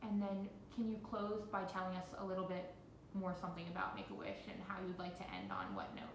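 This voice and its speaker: A woman interviewing and coaching in an encouraging, informal way. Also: a little fast. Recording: roomy, noisy phone recording with rumble.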